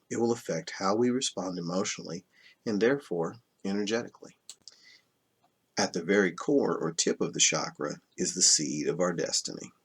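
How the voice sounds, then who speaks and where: A man reading spiritual self-help nonfiction aloud in a measured, instructional way. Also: low voice, slightly rough. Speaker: reader of a self-help book.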